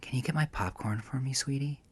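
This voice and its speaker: A man's voice: medium pitch, brisk, husky, pitched above the performer's own voice, natural voice. A man voicing a bitter, sarcastic and volatile young woman in a dramatic audio story, with profane, biting delivery. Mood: needling. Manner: sarcastic, profane, shouting when cornered.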